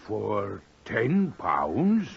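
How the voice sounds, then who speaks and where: An actor playing a simple, eager shepherd with a husky, creaky voice and speech in a 1950s radio drama. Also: male voice, on the low side, huge pitch swings, extremely slow, exaggerated voice. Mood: wary, greedy. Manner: dialect speech, exclaiming. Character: simple, eager rustic English shepherd, easily tempted. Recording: muffled old 1950s radio recording.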